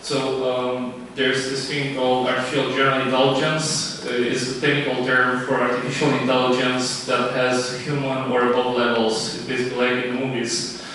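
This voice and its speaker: A man from Romania pitching his startup live, halting often with fillers and restarts. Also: completely flat delivery, fairly bright tone, a little hiss or hum. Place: event room. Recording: echoey room, distant mic.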